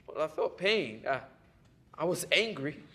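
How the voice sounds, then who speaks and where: An actor playing a troubled, self-loathing young man who speaks haltingly and emotionally in a stage play. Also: a little high, sing-song, bright, forceful tone, full character voice. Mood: subdued. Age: young adult.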